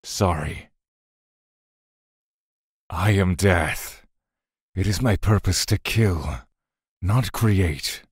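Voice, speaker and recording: An actor playing a stern, dutiful god of death in a fantasy audio drama, speaking in a stiff, curt, commanding manner. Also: male voice, deep voice, very slow, long pauses, husky, no echo, clean studio sound, heavily acted. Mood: stern, grave.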